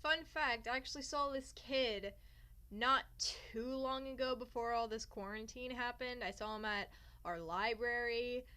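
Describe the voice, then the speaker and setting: A woman's voice: a little high, steady tone, a little slow, pushed and bright. A young woman vlogger telling a personal story in a casual, theatrical way with sarcastic, self-mocking asides. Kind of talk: casual storytime chat to camera.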